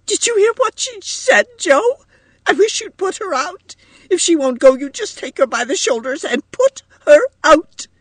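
Shrill, frantic, enraged mother. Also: expressive, measured pace, dry recording. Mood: livid. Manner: shrill, trembling, commanding outbursts.

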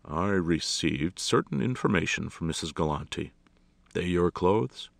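Forbidding older inspector, acted by a male reader: coldly official, firm and snappish, creaky, very dry sound. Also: low-pitched, expressive, a little slow, dry recording, heavily acted. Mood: curt official.

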